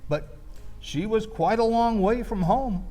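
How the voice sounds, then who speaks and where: Slow-paced man telling stories in a high voice. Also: mellow, faint music behind. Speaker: storyteller. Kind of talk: live storytelling, first-person comic reminiscence.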